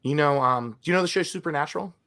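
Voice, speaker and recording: Podcast co-host, male, raspy and recovering, bright tone, animated casual chat. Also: a little high, racing. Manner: animated, chatty, many restarts.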